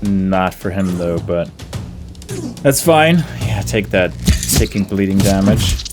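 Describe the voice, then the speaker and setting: A man's voice: deep voice, lively intonation, slow pace, music in the background. A man giving casual game commentary on his own playthrough, thinking aloud and easily amused.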